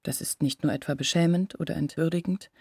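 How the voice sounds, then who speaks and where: A woman's voice: low voice, quick pace, darkish tone, breathy edge, no room sound, clean studio sound, heavily acted. An actress playing an anxious, confiding young village woman in a literary radio drama, explaining her doubts earnestly and intimately. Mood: earnest, troubled.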